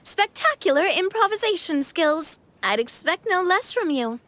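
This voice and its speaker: An actress playing a confident, playful young woman leader in a video game, with a breezy, expressive manner. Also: very high voice, moderate pace, full character voice. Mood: amused. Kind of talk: English video game voice acting.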